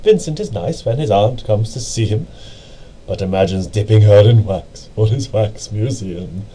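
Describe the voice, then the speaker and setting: A man reading a poem as dramatic narration, with a theatrical, deliberate and brooding delivery. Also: on the low side, animated, mellow, a little hiss or hum, low-quality recording. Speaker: amateur reader.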